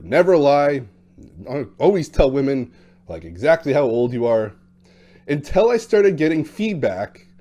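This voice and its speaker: A man with a dark, mellow voice talks straight to camera in a loose, blunt monologue. He is talkative and casual and drops in quick impressions of other people. His pitch sits at an everyday middle. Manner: talkative, blunt, acts out quotes in shifting voices. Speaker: dating coach.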